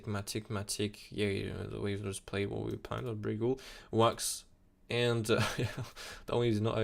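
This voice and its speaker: A young man with a French accent, talking casually on a live stream about trading charts, excitable and full of restarts, recorded at a desk mic in a small room. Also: lowish voice, vocal fry. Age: young adult. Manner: excitable, repeats "absolutely insane", many restarts. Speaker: crypto chart analyst streamer.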